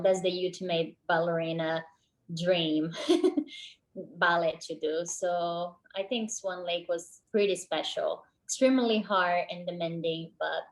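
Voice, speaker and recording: A woman's voice: fairly low pitch, poor audio. A young woman from Brazil, a professional ballet dancer, answering questions in a friendly way. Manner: friendly, laughs easily. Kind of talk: casual interview answers.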